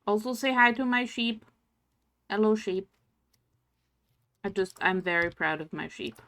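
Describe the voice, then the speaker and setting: A German woman with a mixed English accent, streaming a game and thinking aloud in casual, low-energy chat. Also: fairly bright tone. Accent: mixed English accent, no clear origin. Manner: slow, thinking aloud while playing, low-energy murmurs.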